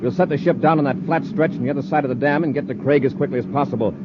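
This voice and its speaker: A man playing a calm, authoritative space patrol commander in a 1950s radio adventure serial. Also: mid-range voice, very fast, lightly acted. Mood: brisk, urgent. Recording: noisy, dull old radio recording.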